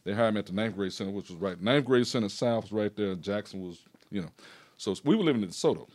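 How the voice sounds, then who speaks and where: A man, a retired PE teacher telling stories in a rambling, animated way and laughing often. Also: fairly low pitch, fast talker. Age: 60s. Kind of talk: casual storytelling conversation.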